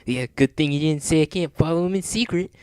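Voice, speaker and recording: A man's voice: medium pitch, sing-song, moderate pace, no echo, pitched above the performer's own voice, natural voice. A man voicing a cool, cocky young male hedgehog hero in a storybook, mocking and brash.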